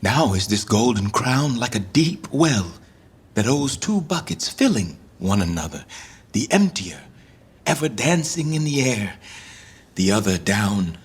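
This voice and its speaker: A man acting a deposed English king in Shakespearean verse, grieving and bitterly ironic, with a theatrical, deliberate delivery. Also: medium pitch, very animated, slightly rough, heavily acted. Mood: taunting grief. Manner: theatrical, deliberate, swinging from hushed to declaiming. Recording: long reverberant hall.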